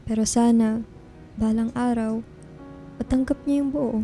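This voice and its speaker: A woman's voice: fairly high pitch, fairly even intonation, a little slow, warm tone. A woman acting a guilt-ridden young woman in love, earnest and emotional, in a Filipino radio drama. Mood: guilty, pleading. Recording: music under the voice. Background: background music.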